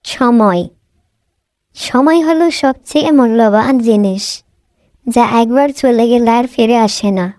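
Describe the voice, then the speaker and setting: Resolute young daughter , a woman voicing her: mellow, slightly high, dry studio. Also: a little slow, pauses often, dry recording, natural voice. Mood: calm. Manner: calm, earnest, resolute. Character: wise, brave young woodcutter's daughter.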